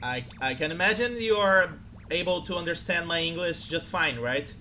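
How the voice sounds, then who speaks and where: Excitable male streamer. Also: on the high side, moderate pace, slight background noise. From Brazil. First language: Portuguese. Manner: excitable, flustered, chatty. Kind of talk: live game commentary and chat.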